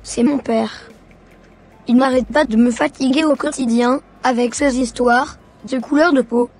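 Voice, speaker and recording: A woman's voice: high voice, fairly even intonation, a little slow, darkish tone, husky, soft background music, full character voice. A woman voicing an earnest, fed-up schoolboy son in a cartoon, with plain scripted delivery. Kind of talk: animated cartoon dialogue.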